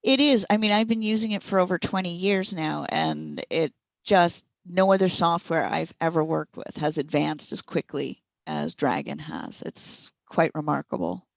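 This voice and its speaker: A woman's voice: deep voice, moderate pace, vocal fry, no echo. A middle-aged woman giving encouraging, conversational explanations as a guest trainer, in slightly dull audio. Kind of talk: conversational instructional interview answers.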